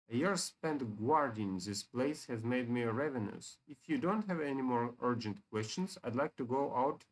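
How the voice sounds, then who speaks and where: A huge basilisk keeps guard in a fantasy game, as read out by a man. It is stubborn and obedient, and it declares its lines at a middling pitch. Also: low-quality recording. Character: gruff, obedient giant basilisk guarding a canyon.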